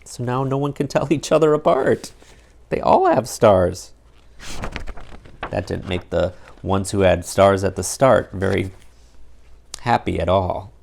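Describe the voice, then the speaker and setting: A middle-aged man reads a picture book aloud for young children. His pitch moves around a lot and has some fry in it. He is expressive and stops to explain the story in simple terms. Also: a little slow, long pauses, slight background noise. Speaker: storytime reader.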